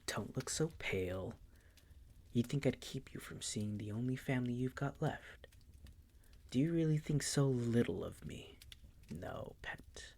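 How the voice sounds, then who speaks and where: A man playing a possessive, coolly menacing vampire master in an intimate audio roleplay, teasing and condescending in a breathy, gravelly whisper. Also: medium pitch, heavily acted. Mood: smooth, patronising. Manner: slow, condescending, teasing, coaxing.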